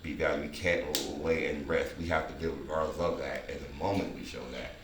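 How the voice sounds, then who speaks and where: A man talking in a casual group discussion, halting and reflective, repeating phrases for emphasis. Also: extremely low pitch, fairly even intonation. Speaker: filmmaker leading a table read discussion. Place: indoor room at a table read. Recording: echoey room, distant mic, noisy background.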